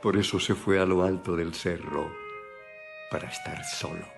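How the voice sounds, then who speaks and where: A man reading scripted Bible narration with solemn, deliberate phrasing. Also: deep voice, fairly even intonation, vocal fry. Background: background music.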